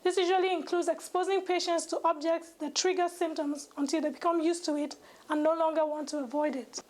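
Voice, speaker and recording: A woman's voice: very high voice, steady tone, a little fast, a little mellow. A young woman, a medical student, giving a scripted educational presentation, reading formally and carefully with occasional stumbles. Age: young adult.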